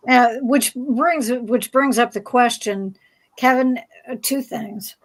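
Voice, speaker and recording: A woman with a slightly high voice moderates a panel. She talks casually, putting questions in a halting, conversational manner. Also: steady tone.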